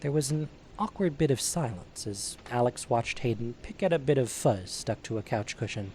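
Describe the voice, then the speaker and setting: A man reads scripted story narration for an audio drama with a lively, varied intonation. Faint noise sits behind the voice. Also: medium pitch, moderate pace.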